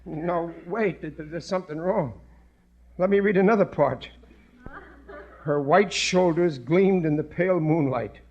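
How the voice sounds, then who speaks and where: Blustering, high-pitched radio sitcom husband. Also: male voice, a little slow, muffled recording. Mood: agitated. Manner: blustering, stammering, ungrammatical. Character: bumbling, excitable working-class husband, earnest and thick-headed. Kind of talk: 1950s radio comedy acting. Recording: noisy vintage 1950s radio broadcast.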